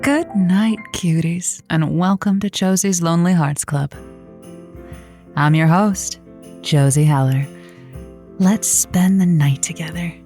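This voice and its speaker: A woman's voice: fairly low pitch, takes it slowly, a little mellow, faint music behind. A woman playing a playful, flirty late-night radio host, theatrical and teasing, in a comedic radio drama. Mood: playful, upbeat.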